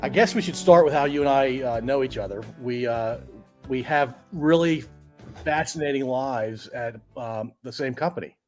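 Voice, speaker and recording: A man's voice: medium pitch, normal speed, faint music behind. A man from Central Virginia, a podcast host chatting casually and rambling a little as he sets up his questions. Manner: friendly, rambling with many uh fillers. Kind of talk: casual conversation.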